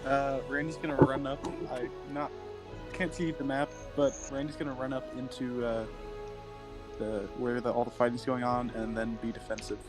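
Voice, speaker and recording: A man's voice: mid-range voice, flat delivery, moderate pace. A man playing a tabletop roleplaying game, chatting casually and a little hesitantly about his moves. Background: background music.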